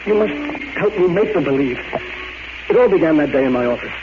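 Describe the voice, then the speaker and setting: An anguished older American father played by a male actor in a 1940s radio drama. He pleads and insists urgently, with a controlling streak. The recording is muffled, with clear noise over it. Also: a little high, animated, fairly quick, close to an everyday voice. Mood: pleading, agitated. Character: agitated elderly wealthy father, controlling and anguished.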